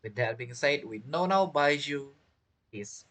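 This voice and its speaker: A man's voice: fairly high pitch, expressive, a little slow, a bit bright. A man giving casual, chatty gameplay commentary, reacting informally as he plays. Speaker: game streamer. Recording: game music behind voice.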